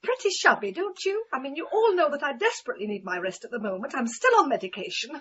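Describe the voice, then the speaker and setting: A woman's voice: high-pitched, very animated, fast, fairly bright tone. An actress playing a middle-aged, self-pitying, disbelieving mother in a radio play, scolding in an affronted manner. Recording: slightly muffled, faint background noise.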